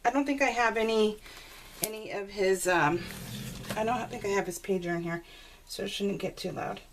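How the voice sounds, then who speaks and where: A woman chatting casually and rambling as a craft video host, giggly and self-deprecating, telling stories with animated exclamations. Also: mid-range voice, normal speed. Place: at home, voice recorded live while crafting. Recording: noisy home recording with clicks.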